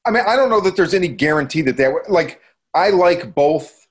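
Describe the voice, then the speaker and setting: A man's voice: on the high side, animated, brisk, slightly rough. A man co-hosting a podcast, talking casually about horror films in a blunt, opinionated way. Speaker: podcast co-host.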